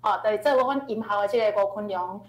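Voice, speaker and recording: A woman with a Taiwanese accent teaching in an informal, animated lecture style, often ending phrases with tag particles. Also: medium pitch, fast. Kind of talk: informal lecture, explaining song lyrics. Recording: roomy, echoing online-class audio.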